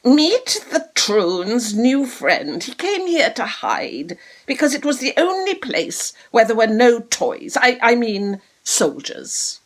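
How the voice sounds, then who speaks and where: A woman's voice: fairly high pitch, very animated, slow, few pauses, heavily acted. A woman voicing a kindly, eager male magician in a children's storybook, with an earnest, slightly hesitant manner. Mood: flustered.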